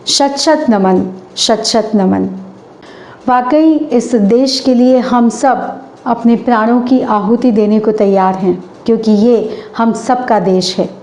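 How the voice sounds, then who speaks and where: A hoarse woman hosts her channel and recites a stage anchoring script in a formal, declamatory way, slowing down for poetic couplets. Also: on the high side, dark tone, roomy sound.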